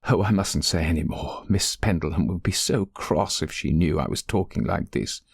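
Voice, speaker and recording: Storybook role: downtrodden, fearful woman performed by a man, halting and meek, creaky, recorded dry. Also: low voice, dry recording, heavily acted. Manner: hesitant, stammering, meek. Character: frightened, downtrodden, tearful lady's companion. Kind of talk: audiobook character voice.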